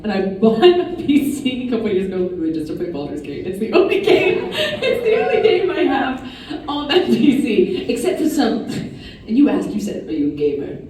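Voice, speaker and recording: A woman's voice: fairly high pitch, huge pitch swings, moderate pace, a little mellow. A woman moderating a convention panel, chatting casually and giggling as she talks. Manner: bubbly, giggly. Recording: echoey hall, distant mic, crowd behind.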